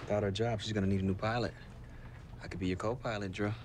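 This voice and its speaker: A man's voice: lowish voice, steady tone, fast talker, a little hiss or hum, natural voice. An actor playing a crude, cocky young man who jokes lewdly with his buddy. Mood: lewd, teasing.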